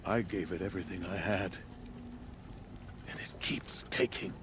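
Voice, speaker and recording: An actor playing a proud, embittered and desperate military commander in a video game, commanding and intense. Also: male voice, fairly even intonation, a little slow. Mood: bitter, despairing. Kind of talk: dramatic video game acting. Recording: noisy rumbling background.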